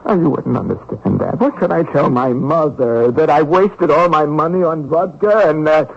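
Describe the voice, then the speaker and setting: Plaintive, higher-voiced peasant vagrant. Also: male voice, normal speed, gravelly, slightly rough. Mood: hurt, resentful. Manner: plaintive, grumbling, sullen. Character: miserable, weary Russian peasant vagrant, sullen and ashamed. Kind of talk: old-time radio drama acting. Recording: dull, lo-fi radio drama recording.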